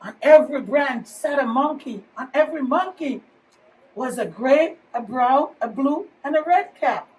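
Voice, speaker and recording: A woman's voice: sits high, unhurried. A woman reading a children's picture book aloud as a teacher, telling it expressively for young listeners. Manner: expressive storytelling with questions to children. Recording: distant microphone, faint background noise.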